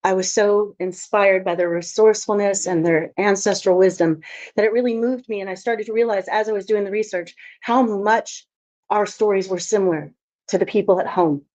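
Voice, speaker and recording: A woman's voice: medium pitch, steady tone, moderate pace, a little mellow. A woman from Alaska giving a conference talk as a filmmaker, telling her story in a chatty, anecdotal way. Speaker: filmmaker presenter. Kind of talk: conference presentation. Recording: dull lo-fi audio.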